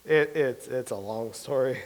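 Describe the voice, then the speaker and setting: An actor in a staged reading playing an earnest, insistent believer who explains things. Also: male voice, medium pitch, measured pace, lightly acted, rough recording. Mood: dismayed.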